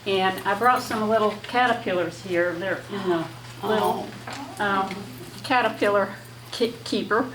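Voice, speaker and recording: Woman guest speaker, gentle and halting, recorded from afar in a noisy hall. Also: mid-range voice. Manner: soft-spoken, halting with many fillers. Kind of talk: casual talk to a women's lunch group. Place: echoey hall with audience. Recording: echoey hall, distant, background noise.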